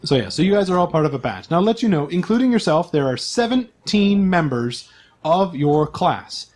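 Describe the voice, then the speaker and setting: Higher-voiced man running a tabletop game. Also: very animated, moderate pace, a little mellow. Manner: joking, conversational, explanatory. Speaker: game master. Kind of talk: casual tabletop role-playing narration and explanation.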